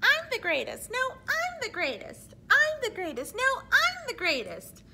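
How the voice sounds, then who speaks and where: A woman's voice: very high voice, wildly swooping pitch, a little slow, pushed and bright, slight background noise, voice pushed up, full character voice. A woman voicing a vain, boastful female opera star bickering in a picture-book cartoon. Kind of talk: acted picture-book dialogue.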